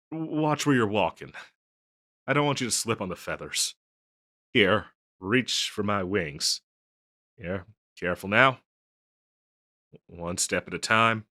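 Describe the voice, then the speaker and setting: A man acts out a self-conscious young harpy boyfriend in an audio roleplay. His voice is low but bright and forward, recorded close and dry. He is tender and apologetic, stammering and hesitating as he talks to his partner. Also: no echo. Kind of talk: scripted audio roleplay, intimate one-sided dialogue.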